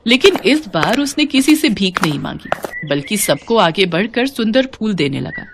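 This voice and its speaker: A woman narrating a scripted children's story in an expressive storytelling style. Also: mid-range voice, normal speed, background noise.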